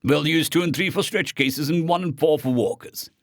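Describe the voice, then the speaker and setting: A man voicing a brisk alien search-and-rescue team leader giving clipped, commanding orders in a sci-fi story. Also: fairly high pitch, dry recording, studio quality, voice pushed up, lightly acted. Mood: brisk, decisive.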